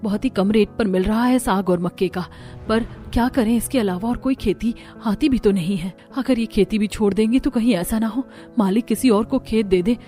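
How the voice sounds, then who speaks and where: A woman's voice: medium pitch, normal speed, mellow, soft background music, natural voice. A woman voicing a concerned young village daughter-in-law. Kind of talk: Hindi cartoon story dialogue.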